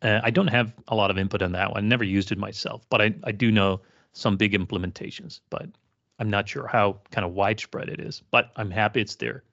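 A man's voice: lowish voice, steady tone, fairly quick, creaky, a bit bright, highs cut off. A man co-hosting a tech podcast, talking casually and hesitantly with lots of restarts. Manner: hesitant, many fillers and restarts. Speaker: podcast co-host.

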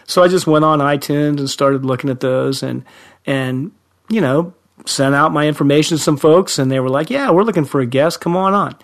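A man's voice: on the high side, moderate pace. A man, a friendly, self-deprecating podcast guest with a young-sounding voice who laughs often. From Central Ohio, USA. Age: 50s. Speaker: high school teacher, guest. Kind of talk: podcast interview.

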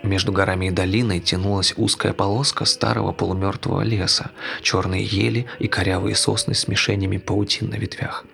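A man tells a scripted dark fable. His voice is low and distinctly gravelly, with a measured, literary storyteller's delivery. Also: little pitch movement, faint music behind.

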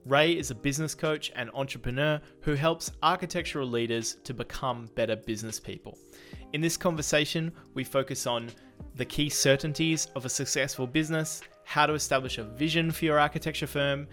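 A man's voice: medium pitch, fairly bright tone. Male podcast host over faint music. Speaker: podcast host, marketing consultant. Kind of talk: podcast introduction and conversational interview.

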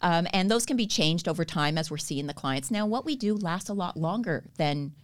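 A woman's voice: on the low side, lively intonation, normal speed. A woman with a Canadian accent, a podcast guest earnestly explaining her therapy work and laughing easily. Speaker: guest, stretch therapy educator. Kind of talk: podcast interview.

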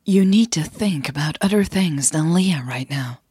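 A woman's voice: sits low, expressive, normal speed, dark tone, breathy edge, no room sound, studio quality, exaggerated voice. A woman voicing an anxious but determined young woman in love, speaking hesitantly in an audio drama. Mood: tense self-talk. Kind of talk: audio drama dialogue acted by narrator.

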